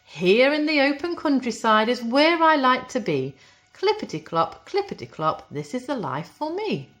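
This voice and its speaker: A woman voicing a dreamy, wistful male toy horse from a storybook, speaking in expressive singsong rhyme. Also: sits high, moderate pace, voice pushed up. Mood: contented.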